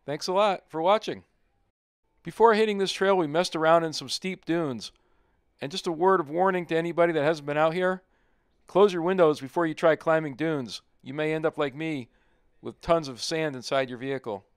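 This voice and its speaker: A man's voice: a little high, normal speed, smooth, no echo, clean studio sound. A middle-aged man narrating his off-road trip in a plain-spoken, easygoing voice-over. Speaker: overlanding vlogger.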